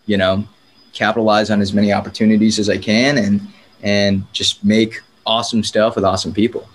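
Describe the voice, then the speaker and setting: A young man from San Diego, a filmmaker guest talking casually in an interview, relaxed and full of filler words. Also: on the low side, fairly quick, slight background noise. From San Diego, California. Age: young adult. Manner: relaxed, chatty, frequent "like" fillers.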